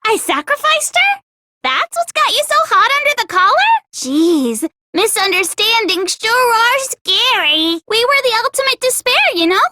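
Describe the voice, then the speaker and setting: A woman's voice: extremely high pitch, big pitch swings, measured pace, noisy background, full character voice. A woman voicing a cutesy, flippant teenage girl villain in an anime video game, mock-innocent and breezily nihilistic.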